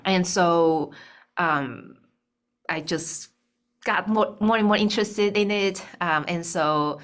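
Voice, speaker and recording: A woman from Taiwan, a speech-language pathologist, talking through her story in a video call interview with many pauses and ums. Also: on the low side, a bit bright. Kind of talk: casual interview talk. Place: bright room indoors.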